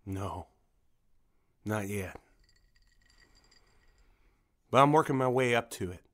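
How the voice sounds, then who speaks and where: A man's voice: fairly low pitch, expressive, a bit bright. An actor playing a folksy father in an audio drama, who acts like a jovial barfly to confront a predator and can turn menacing. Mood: grim, controlled. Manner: folksy, drawling, mock-friendly, then slow and threatening.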